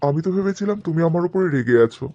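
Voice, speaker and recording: A man's voice: on the high side, animated, fast talker, mellow, lo-fi sound. A man playing a devoted, flustered young office worker fiancé in a cartoon drama. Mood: flustered, eager.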